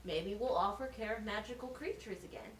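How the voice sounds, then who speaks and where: A woman's voice: mid-range voice, fairly quick, lightly acted. A woman voicing an encouraging, methodical teacher in a scripted puppet show, explaining things plainly and asking questions. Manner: instructive, question-led, plain wording. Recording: roomy, distant mic, faint noise.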